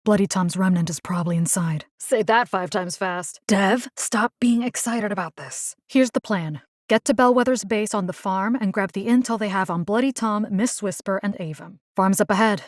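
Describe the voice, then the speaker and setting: A woman's voice: mid-range voice, moderate pace, few pauses, no room sound, studio quality, close to an everyday voice. An actress voicing a wry, determined, compassionate young woman who hunts monsters in a video game, speaking conversationally with muttered asides. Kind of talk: video game character voice lines.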